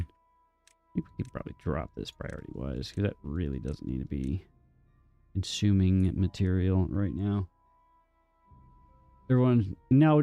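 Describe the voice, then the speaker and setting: Slow gravelly man streaming. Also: mid-range voice, dry recording. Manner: rambling, answers chat with many ums and restarts. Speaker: live game streamer. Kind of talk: casual chat with viewers while playing.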